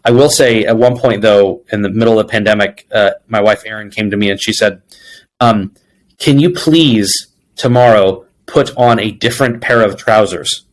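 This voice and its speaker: A man's voice: fairly low pitch, moderate pace, low-quality recording. Man telling reflective workplace anecdotes. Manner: reflective storytelling, quoting dialogue. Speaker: interview guest, people executive. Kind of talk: conversational interview answers with anecdotes.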